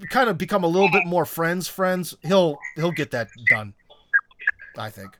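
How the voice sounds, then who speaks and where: A man hosts in a loose, friendly tone, his voice sitting a little above the usual male pitch. He rambles with fillers and chummy asides. A faint background hiss stays under the recording. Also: lively intonation, normal speed. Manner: laid-back, chummy, with filler-heavy asides. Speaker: show host. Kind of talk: casual interview chat.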